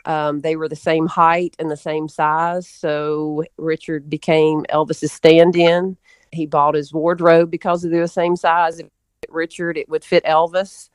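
A woman's voice: sits low, flat delivery, measured pace, clean tone, no room sound. A middle-aged woman, reminiscing casually and telling folksy anecdotes as an interview guest. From Memphis, Tennessee, USA.

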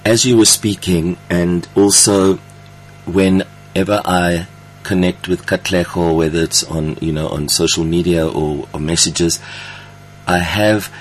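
A man hosting an interview conversationally, effusively affirming his guest and phrasing his thoughts haltingly. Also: sits low, steady tone, a little hiss or hum, low-quality recording. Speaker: interview host.

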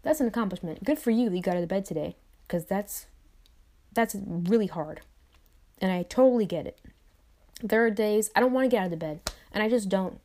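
A woman's voice: mid-range voice, animated, brisk, pauses often, darkish tone. A young adult woman speaking to camera in a reflective motivational monologue, repeating phrases and tossing in conversational asides. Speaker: vlogger.

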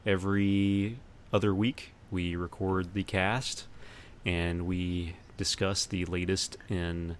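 Male podcast host, low-pitched, casual and halting delivery, some background noise. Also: level tone.

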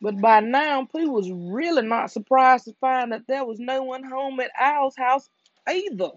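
A storyteller reading a children's book aloud for bedtime in a soothing, deliberate way. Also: high-pitched, no room sound, lo-fi sound.

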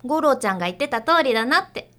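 A woman's voice: sits high, expressive, fast, a bit bright. A young woman playing a perky, cheeky underground idol with a gushing, breezy manner in a radio drama.

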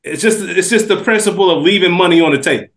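A man's voice: sits high, fast, fairly bright tone. A man co-hosting a podcast in casual chat, slangy and emphatic. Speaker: podcast co-host.

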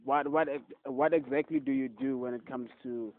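A man's voice: medium pitch, fairly even intonation, normal speed. A young man hosting a casual interview, hyped and loose, repeating "yes, sir" and "my guy". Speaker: podcast host. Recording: tinny phone-like audio.

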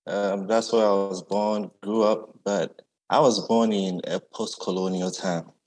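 Man, deep and relaxed, for a conversational artist interview. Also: a little slow, low-quality recording. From Ghana. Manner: easygoing, reflective, often adds "yeah". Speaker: artist guest. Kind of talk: casual conversation.

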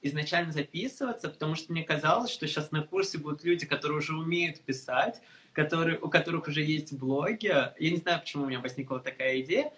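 A man's voice: fairly high pitch, fast, a bit bright. A man, a course participant, talking casually and eagerly, grateful, with hesitant fillers. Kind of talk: casual conversation.

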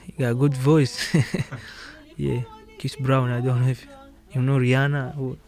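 A man with a Congolese accent answering interview questions in halting, non-native English, often repeating words and laughing. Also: mid-range voice, level tone, a little mellow, soft background music. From Democratic Republic of Congo. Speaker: guest musician.

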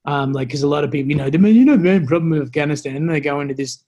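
A man's voice: on the high side, rapid-fire, warm tone. An Australian man, a former soldier, telling stories as a podcast guest in a casual, rambling, reflective and joking way. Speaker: interview guest, former special forces soldier. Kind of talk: casual podcast conversation, storytelling.

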